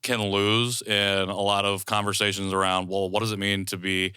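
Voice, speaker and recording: A man's voice: on the low side, flat delivery, moderate pace, bright, forceful tone, clear, resonant tone, no room sound, clean studio sound. An American man hosting a podcast, talking casually in a supportive, reflective way. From United States. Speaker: podcast host. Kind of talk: casual conversational interview.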